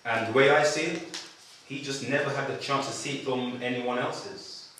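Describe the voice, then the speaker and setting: An actor playing a wry, philosophical pink elephant who narrates a film script in a measured manner. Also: male voice, mid-range voice, fairly even intonation, fairly bright tone, a little hiss or hum, natural voice. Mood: reflective. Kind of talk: scripted storytelling at a table read. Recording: very echoey room, far from mic.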